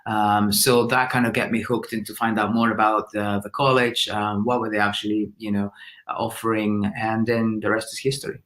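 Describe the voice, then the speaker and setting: Guy in an interview, kinda low voice, lots of um and starting over. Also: flat delivery, moderate pace, a bit bright. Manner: hesitant, many fillers and restarts. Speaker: student being interviewed. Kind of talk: casual interview answers.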